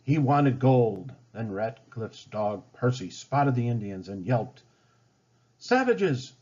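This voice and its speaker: Husky low-voiced man reading a storybook. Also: takes it slowly, dark tone. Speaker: storybook reader. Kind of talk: children's story read-aloud. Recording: dull lo-fi home recording.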